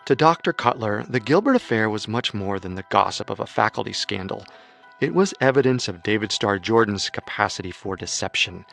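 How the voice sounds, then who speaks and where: A man with a fairly low voice narrates a true-crime story. He is measured and leans on key phrases for drama, over soft background music. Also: expressive, gravelly. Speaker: narrator, co-host.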